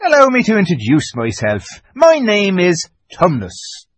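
A man's voice: high voice, huge pitch swings, unhurried, dry recording, voice pushed up, full character voice. A man voicing a polite, fussy, eager fawn creature in a storybook, with formal, flustered courtesy. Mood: apologetic, delighted.

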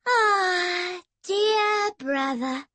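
A woman's voice: extremely high pitch, lively intonation, drawn-out words, sharp tone, no echo, voice pushed up, exaggerated voice. A woman voicing a smug, saintly girl who speaks in a sweet, cooing voice.

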